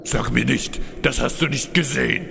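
An actor playing a stern, exasperated instructor giving clipped orders in a comedy fantasy audio play. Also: male voice, medium pitch, moderate pace, gravelly, background noise.

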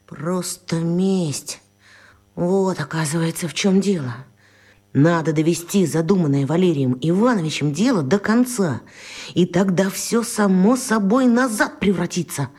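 An actress playing the indignant, emotional victim of a botched spell in a children's radio play, speaking dramatically. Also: female voice, fairly low pitch, measured pace, a little mellow, husky. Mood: gloomy, brooding.

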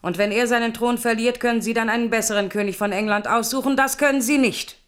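A woman's voice: on the high side, steady tone, fast talker, lightly acted. An actress playing an imperious, scheming queen in a German radio play, speaking with haughty insistence. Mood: insistent.

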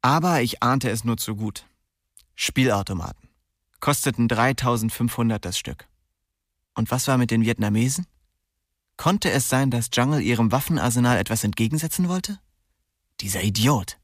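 A man's voice: mid-range voice, lively intonation, normal speed, pauses often, no room sound, studio quality, close to an everyday voice. An actor playing a lovestruck, restless young man who narrates his small-town life in an intimate, slangy, wry way in a radio drama. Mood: musing, hurried. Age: young adult. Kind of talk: radio drama first-person narration and dialogue.

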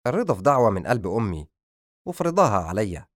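A man's voice: on the low side, very animated, fast, dry recording. Man acting weary lovestruck young man, dry close sound. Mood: earnest, grateful. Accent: Egyptian. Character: ailing, lovestruck young man, gentle and playful. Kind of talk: audiobook character dialogue.

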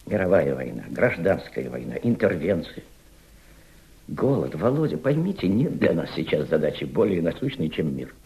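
An actor playing an impassioned senior Soviet diplomat in a radio drama, mentoring a young subordinate with emphatic, didactic rhetoric and deliberate repetition. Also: male voice, low-pitched, big pitch swings, warm tone. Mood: grave, persuasive. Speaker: actor in an audio play.

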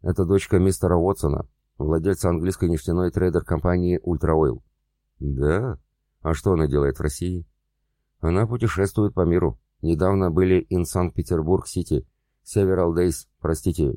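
A man's voice: low-pitched, moderate pace, mellow, dry recording, clean studio sound, natural voice. A man voicing a bodyguard who poses as a foreign assistant, speaking broken Russian sprinkled with English words. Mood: smooth pretending.

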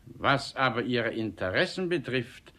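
A stately gentleman of mature years in a classic radio play. He speaks at a medium pitch with measured, formal, solemn dignity. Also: big pitch swings. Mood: composed, stern. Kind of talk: classic stage comedy radio acting.

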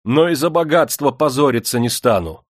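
A man's voice: mid-range voice, expressive, normal speed, no room sound, studio quality, pitched above the performer's own voice, lightly acted. A man voicing a pleading, protective peasant cook woman in an audiobook, with a wailing, protesting manner. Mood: indignant.

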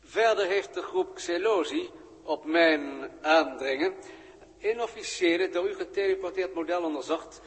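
A man's voice: a little high, natural voice. Man as solemn alien in long reverb. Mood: solemn, respectful. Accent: Netherlands Dutch. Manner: measured, formal, solemn. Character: deferential, precise alien colleague and former pupil. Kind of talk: 1960s Dutch radio drama acting. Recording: old radio recording with long reverb.

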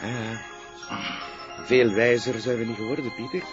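An actor with a Flemish accent playing a measured, matter-of-fact police detective in an audio drama. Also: male voice, mid-range voice, flat delivery, muffled recording, over music, natural voice. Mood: sober, sceptical. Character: sober, sceptical male police detective sidekick.

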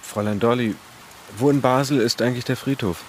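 A man's voice: mid-range voice, a little fast, darkish tone, slight background noise, lightly acted. An actor playing a wistful, tender man on the run in a radio drama. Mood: curious, polite. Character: wistful, tender male fugitive courting a young woman.

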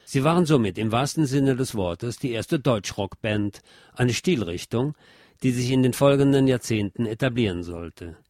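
A man presenting a music history programme in scripted, measured and articulate documentary narration. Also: medium pitch, animated, a little mellow, no room sound, studio quality. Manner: measured, articulate lecturing delivery.

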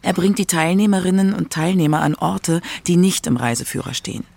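Gravelly female documentary narrator. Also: lowish voice, brisk, darkish tone, no room sound.

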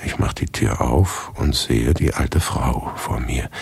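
An actor playing an obsessive, easily agitated writer in a radio play, delivering an intimate first-person monologue that shifts between hushed muttering and agitated outbursts. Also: male voice, a bass voice, slow, no room sound, full character voice. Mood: nervous, uneasy. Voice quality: gravelly, husky.

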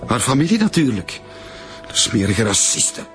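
A man's voice: mid-range voice, very animated, normal speed, fairly bright tone, soft background music. A young man playing a bereaved, defensive boyfriend in a naturalistic radio drama. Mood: shocked, bitter. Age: young adult.

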